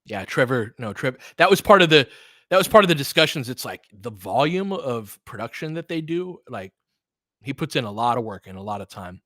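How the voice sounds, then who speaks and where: A man's voice: medium pitch, sing-song, fairly quick, fairly bright tone, no room sound, studio quality. A man hosting a sports podcast, chatting off the cuff in an earnest, rambling, freely profane way. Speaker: podcast host and sports play-by-play streamer. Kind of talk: unscripted casual chat with listeners.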